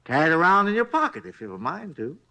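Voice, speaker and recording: An actor playing a chatty, folksy night clerk at a hotel, rambling in rustic grammar in a 1950s radio drama. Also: male voice, fairly high pitch, sing-song, very fast, bright, forceful tone, exaggerated voice.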